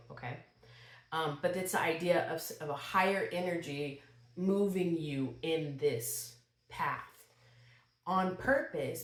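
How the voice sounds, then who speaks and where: A woman giving a channeled tarot reading to her viewers, speaking in a slow, spiritual way and sniffling a little from a cold. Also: low-pitched. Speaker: tarot reader. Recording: echoey room.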